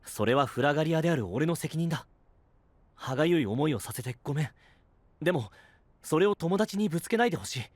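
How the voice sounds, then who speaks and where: A male actor playing a gentle, responsible young knight in an audio drama, soft-spoken and earnest. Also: on the high side, animated, fast, no room sound. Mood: earnest pleading. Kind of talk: Japanese anime-style audio drama acting.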